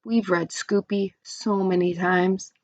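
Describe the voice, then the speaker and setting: A woman's voice: medium pitch, fairly even intonation, takes it slowly, no echo. Woman reading as an exasperated nine-year-old big sister. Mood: weary pleading. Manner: pleading, put-upon.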